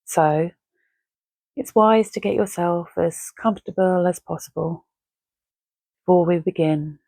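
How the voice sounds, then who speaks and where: A woman guiding a relaxation meditation in a gentle, measured, soothing way, leaving long pauses between phrases. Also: fairly low pitch, no room sound. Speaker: meditation guide. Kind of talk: guided Yoga Nidra relaxation, soothing and measured.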